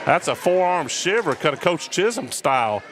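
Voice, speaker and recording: A man with a naturally loud voice, giving folksy color commentary at a high school basketball game in a school gym. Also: big pitch swings, moderate pace. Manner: loud, folksy, repeats words. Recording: gym noise behind. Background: gym crowd and bouncing basketball.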